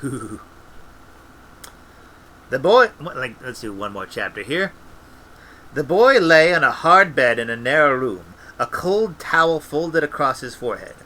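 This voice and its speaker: A man's voice: medium pitch, sing-song, unhurried, pauses often, sharp tone. A man reading a storybook aloud as narrator. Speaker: amateur audiobook reader, former radio presenter. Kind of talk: storybook narration with character voices.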